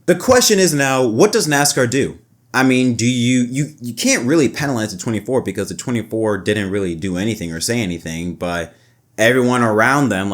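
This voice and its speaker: A man hosting a motorsport video, giving opinionated race analysis in an emphatic way that often repeats phrases. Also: medium pitch. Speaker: motorsport commentary video host.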